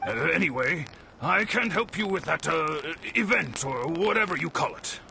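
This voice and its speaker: Creaky, grumbling old grandfather. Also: fairly high pitch, expressive, normal speed, bright, forceful tone, slight background noise, heavily acted. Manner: grumbling, curmudgeonly. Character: grumpy seventy-year-old ex-merchant grandfather, proud, easily flustered. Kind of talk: video game voice acting. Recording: game music under the voice.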